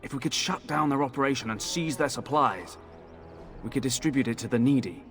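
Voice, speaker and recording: Casting: male actor as sober game guard officer, medium pitch, matter-of-fact, businesslike, faint music behind. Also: lively intonation, fairly quick, fairly bright tone, lightly acted. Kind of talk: video game voice acting.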